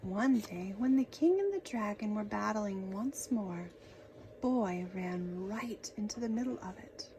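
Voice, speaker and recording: Female children's storyteller, very slow delivery, expressive and dramatic, slight background noise. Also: medium pitch. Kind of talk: expressive read-aloud narration for children.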